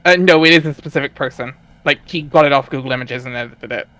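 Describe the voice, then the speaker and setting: A man's voice: medium pitch, fast talker, sharp tone. A man chatting casually as he explains background details to a group, often hesitant and searching for words. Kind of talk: casual chat, commentary.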